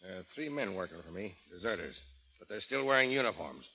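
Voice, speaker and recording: A gravelly, quick-talking Western villain, scheming and animated. The vintage radio sound is muffled. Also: male voice, sits low, slight background noise. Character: scheming frontier villain with hired gunmen. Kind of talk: old-time radio drama acting. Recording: muffled old radio recording.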